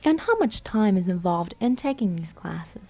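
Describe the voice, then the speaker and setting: A woman reading scripted lines as a radio host, in a formal, measured way. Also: medium pitch, sing-song, dark tone, soft background music. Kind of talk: scripted college radio interview and announcing.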